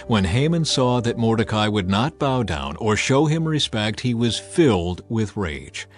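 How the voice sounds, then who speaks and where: A man reading scripture as a dramatic, expressive scripted narration. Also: fairly low pitch, unhurried. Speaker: Bible narrator. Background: music.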